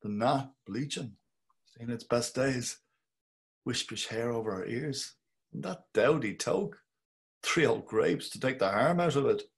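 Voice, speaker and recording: A man's voice: mid-range voice, creaky. A middle-aged actor playing a wry, musing Dublin man, reading over a video call. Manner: musing inner monologue, slow and measured. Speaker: actor reading a male lead. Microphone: webcam on a video call.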